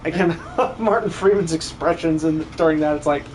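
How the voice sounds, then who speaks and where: A man's voice: on the high side, moderate pace, a little mellow, slight background noise, poor audio. A man giving casual, off-the-cuff commentary on a live game stream. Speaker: streamer and amateur voice actor.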